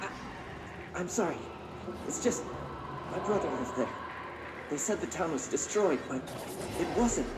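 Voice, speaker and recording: An actor playing a frightened, agitated caller to a radio show in a game, halting and urgent. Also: mid-range voice, lively intonation, lightly acted. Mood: shaken, halting. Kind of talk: radio call-in drama. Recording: echoey, very noisy call.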